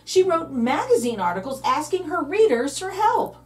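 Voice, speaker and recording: A woman reads a children's picture book with playful, theatrical emphasis. Her voice sits fairly high and swings widely in pitch, stretching key words for drama. She sounds a little away from the microphone. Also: very animated, normal speed, recorded from a distance. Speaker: read-aloud host, storyteller. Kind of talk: expressive children's picture book read-aloud.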